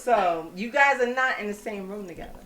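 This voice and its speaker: A woman hosting a casual radio talk show, chatty and upbeat, quick to laugh with her guests. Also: medium pitch, animated, a bit bright, a little hiss or hum.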